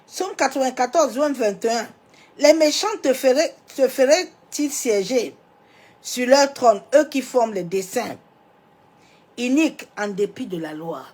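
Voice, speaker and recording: A woman's voice: a little high, expressive, unhurried, slightly rough. Woman pastor with a cold, preaching. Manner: swings from loud emphatic declarations to soft near-whispers; preaching with rhetorical asides and interjections. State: has a cold, coughing. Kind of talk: sermon.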